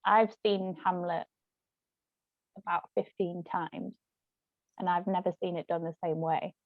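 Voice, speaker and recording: A woman's voice: on the low side, steady tone, measured pace. A woman chatting in a rambling way as a guest in a casual discussion. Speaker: guest author and actress. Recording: muffled lo-fi phone-like audio, roomy echo.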